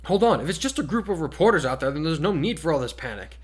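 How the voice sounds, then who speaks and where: A man's voice: fairly high pitch, big pitch swings, fast, pitched above the performer's own voice. An earnest, analytical teenage student, voiced by a man in an audio story. He speaks formally and emphatically, like a would-be class leader. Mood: urgent.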